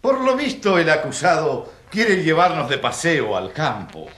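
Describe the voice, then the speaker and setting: A man's voice: fairly high pitch, sing-song, unhurried, fairly bright tone. A man playing a haughty, sarcastic prosecuting lawyer in a radio drama, scornful. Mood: mocking, scornful. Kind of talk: Spanish-language radio drama acting. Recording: echoey room sound.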